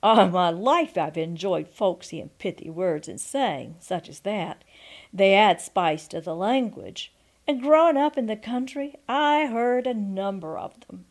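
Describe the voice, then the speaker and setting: Slow-paced older woman storyteller. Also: medium pitch. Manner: deliberate, expressive storytelling with pauses. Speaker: storyteller and audiobook narrator. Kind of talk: reading a folksy memoir aloud. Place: quiet room at home, indoors. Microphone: microphone on a stand before her, seated.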